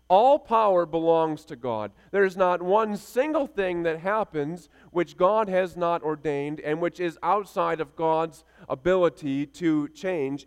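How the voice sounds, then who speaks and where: High-voiced man preaching in a hall. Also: smooth. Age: 30s. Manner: rhythmic repeated phrases, emphatic preaching. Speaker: pastor. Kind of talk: sermon. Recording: reverberant hall sound.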